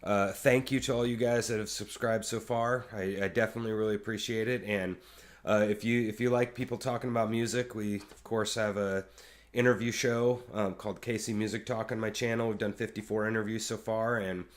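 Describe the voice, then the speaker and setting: A man with a low voice giving rambling, casual commentary on music, with many fillers and restarts. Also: level tone, brisk. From Kansas City area. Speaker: YouTube reaction host, musician.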